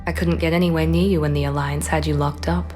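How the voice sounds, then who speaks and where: An actress playing a poised, guarded former operative in a video game, speaking in a controlled, understated way with quiet vulnerability. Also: female voice, low voice, fairly even intonation, fast, in a large hall, soft background music, natural voice. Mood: concerned, composed. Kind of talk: dramatic video game acting.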